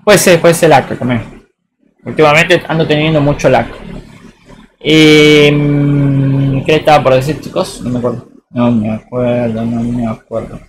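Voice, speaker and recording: A man's voice: medium pitch, fairly even intonation, unhurried, slight background noise, low-quality recording. A man with an Argentine accent from Tucumán, chatting casually and rambling through a live game stream. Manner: rambling, slangy, says boludo often. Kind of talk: casual live-stream chat while playing.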